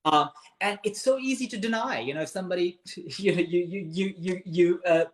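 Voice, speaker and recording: A man's voice: sits high, brisk. A man from Pakistan with a Pakistani accent, whose first language is Urdu, hosting a casual live-stream chat and earnestly giving advice. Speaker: host of a live interview.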